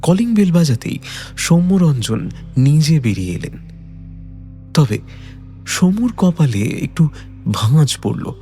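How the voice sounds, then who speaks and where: A man reads a detective story in a dramatic, scripted style. His voice is somewhat high and mellow, with very lively pitch movement. Soft music runs behind it. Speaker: narrator.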